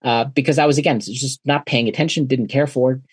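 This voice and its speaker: Forties guest, German native speaker, middle pitch, dry close sound, thinks out loud with lots of uhs. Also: male voice, steady tone, brisk, slightly rough, no room sound. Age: 40s. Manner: thoughtful, many uh fillers and restarts. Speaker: podcast guest. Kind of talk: reflective interview conversation.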